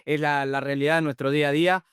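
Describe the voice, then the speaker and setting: Guy hosting a chat, high smooth voice, rambly, bits of portuguese creep in, dry sound. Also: fairly even intonation, quick pace, a bit bright, no room sound. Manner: rambling, mixes in Portuguese words. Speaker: podcast host. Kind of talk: casual interview chat.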